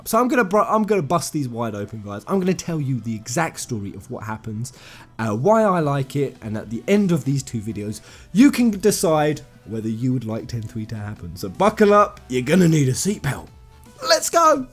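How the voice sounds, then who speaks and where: A man's voice: medium pitch, big pitch swings, normal speed, slightly rough, faint music behind. A man hosting a video game channel, giving informal opinion commentary in an emphatic, slangy way with effusive fan enthusiasm, speaking straight to viewers.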